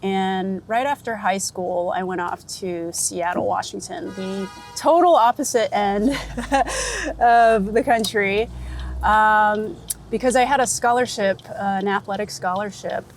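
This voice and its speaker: A woman from Florida with an American accent, chatting casually as an interviewed photographer, talkative and quick to laugh. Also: mid-range voice, soft background music. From United States, Florida. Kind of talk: casual chat while out and about. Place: on location in a city, rooftop, car and street. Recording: outdoor city and vehicle noise.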